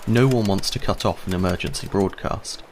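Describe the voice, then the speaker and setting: A man's voice: sits low, a little fast, a little hiss or hum. A man giving a scripted instructional narration, explaining step by step in a conversational way.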